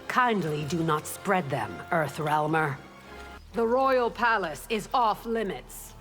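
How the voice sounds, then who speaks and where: A woman's voice: fairly low pitch, animated, slow pace, fairly bright tone, faint music behind. An actress playing a proud, guarded female royal guard in a video game, formal, cool and clipped. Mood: cool, stern.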